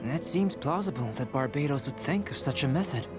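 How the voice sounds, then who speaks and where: Young male alchemist for fantasy game, male actor: calm, analytical, formal diction, medium pitch, music underneath. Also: brisk, close to an everyday voice. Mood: musing. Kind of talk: video game dialogue acting.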